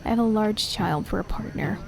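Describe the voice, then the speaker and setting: A woman's voice: medium pitch, fairly quick, gravelly, close to an everyday voice, low-quality recording. A woman playing a no-nonsense detective in an audio drama, dry and exasperated. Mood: resigned.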